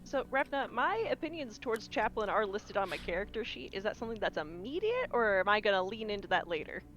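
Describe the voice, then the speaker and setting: A woman's voice: mid-range voice, big pitch swings, fast, fairly bright tone, soft background music. A woman playing in a tabletop roleplaying game, talking casually and improvising, on a slightly lo-fi microphone.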